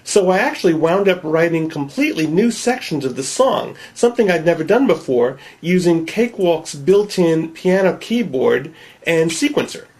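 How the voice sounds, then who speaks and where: A man's voice: a little high, expressive, normal speed, a little mellow, breathy edge. Nasal male narrator, wry and self-deprecating, for a home-made tutorial voice-over. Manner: wry, joking. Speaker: video creator.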